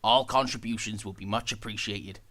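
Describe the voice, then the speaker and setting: A man's voice: fairly low pitch, steady tone, normal speed, a bit bright. A man with an English accent reading a friendly, upbeat announcement for a student theatre society's audio play. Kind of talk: read charity announcement.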